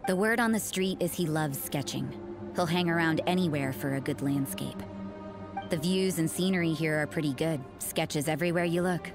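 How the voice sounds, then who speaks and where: A low-pitched young woman, an aloof and cynical nun, acted by a woman. She is dry, languid and blunt, a world-weary video game character heard over music. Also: normal speed, close to an everyday voice. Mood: nonchalant.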